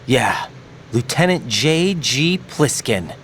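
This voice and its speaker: A man's voice: medium pitch, animated, takes it slowly, a bit bright, slight background noise. An actor playing an earnest, questioning young male special-forces rookie in a video game cutscene. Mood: reporting. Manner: earnest, questioning, slightly naive. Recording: music behind the voice.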